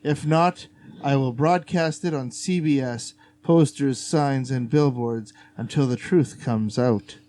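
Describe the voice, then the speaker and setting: A man cohosting a podcast, reading a letter aloud in a slow, measured way. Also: mid-range voice, warm tone.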